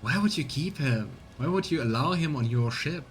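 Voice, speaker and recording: A man's voice: a little high, expressive, normal speed, slight background noise. A man giving casual live game commentary, musing and grumbling as he goes. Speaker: let's play streamer. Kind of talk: casual live game commentary, sometimes reading game text aloud.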